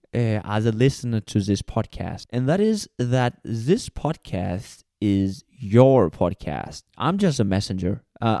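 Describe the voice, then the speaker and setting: A man's voice: on the low side, creaky, mellow, no echo, studio quality. A young man from Mauritius hosting a solo podcast, talking earnestly and conversationally with frequent fillers and restarts. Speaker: podcast host.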